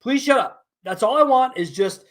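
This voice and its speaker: A man's voice: very high voice, animated, normal speed. A man hosting a solo podcast, talking bluntly and candidly with casual swearing and emphatic asides. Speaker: podcast host. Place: his office.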